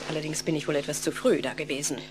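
An actress playing a placating, earnest middle-aged wife in a children's radio play, persuasive and theatrical. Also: female voice, low-pitched, fairly even intonation, brisk, noisy background, close to an everyday voice.

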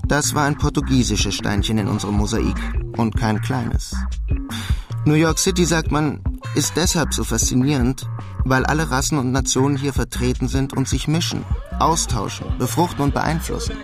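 A man plays a streetwise immigrant narrating a radio crime drama. He is laconic and dryly funny, with a mid-pitched voice. Music runs clearly behind him. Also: moderate pace, lightly acted. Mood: wry, reflective. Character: wry streetwise German immigrant in Queens, narrating his life.

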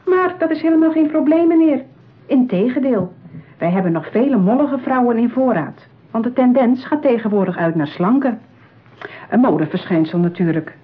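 An actress playing a smooth, patronising saleswoman in a radio play, with polished, persuasive patter. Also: a little high, expressive, normal speed, lightly acted. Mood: reassuring, persuasive. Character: smooth, patronising, eager saleswoman at a matchmaking agency. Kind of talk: radio drama acting. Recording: tinny, narrow-band old radio play.

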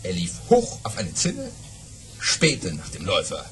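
An actor playing a boastful baron who spins tall tales with dramatic pauses and exclamations in an audio play. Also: male voice, medium pitch, huge pitch swings, a bit bright, a little hiss or hum, full character voice. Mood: gleeful boasting. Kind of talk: German audio-play storytelling.

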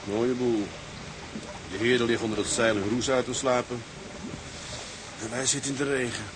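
An actor playing a brisk, sober ship's mate in a radio drama, formal and a little dour. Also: male voice, mid-range voice, steady tone, background noise, natural voice. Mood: grumbling.

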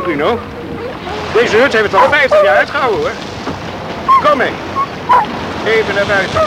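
A young boy in a radio play, with a very high, childlike voice. He talks to himself slowly, defiant but frightened, exclaiming as he goes. Loud storm wind roars over him. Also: heavily acted. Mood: bold, taunting. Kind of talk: Dutch radio play acting.

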